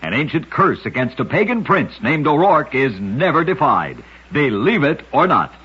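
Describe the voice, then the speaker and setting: A man narrating short true tales in a scripted 1940s radio style, with a measured, dramatic storytelling delivery. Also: a little high, big pitch swings, slightly rough. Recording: muffled old 1940s radio recording.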